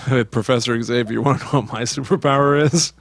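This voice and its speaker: A man's voice: medium pitch, steady tone, fast. A man co-hosting in a casual, joking conversational style. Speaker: podcast co-host.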